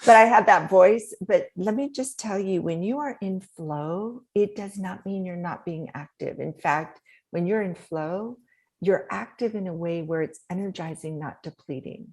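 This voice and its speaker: Soft-voiced woman coaching with energy. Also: lowish voice, unhurried, husky. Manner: animated, laughs often, coaching tone. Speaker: guest, author and speaker. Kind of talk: podcast interview talk.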